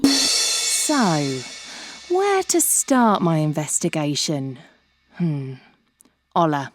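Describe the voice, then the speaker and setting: An actress playing an excitable, sardonic would-be writer turned detective in a comedy audio drama, theatrical with dry asides. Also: female voice, low voice, drawn-out words, over music, heavily acted. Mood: confiding, ominous. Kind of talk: comedy audio drama acting with confiding narration.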